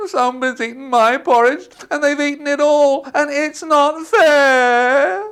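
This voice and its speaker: A man's voice: extremely high pitch, slow pace, pitched above the performer's own voice, heavily acted. A man voicing a whiny, upset little bear cub in a storybook reading. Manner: whiny, loud, sulky.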